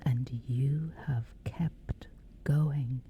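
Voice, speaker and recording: A woman guiding a scripted sleep meditation in a breathy, whispery, hushed and soothing voice, leaving long pauses. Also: very deep voice, fairly even intonation. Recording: slightly dull, soft music behind. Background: music.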